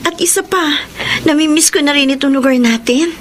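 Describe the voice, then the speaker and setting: Radio drama ingenue: humble, courteous young Filipina female actor, lightly high voice over noisy ambience. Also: moderate pace, lightly acted. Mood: dutiful. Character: meek, polite, grateful young woman, dutiful daughter.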